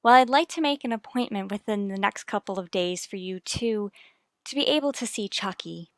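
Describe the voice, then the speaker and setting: A woman's voice: medium pitch, normal speed, dry recording, lightly acted. An actress playing an anxious but determined young married mother in a vintage radio soap, speaking formally and politely in a dry recording. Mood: pleading, insistent.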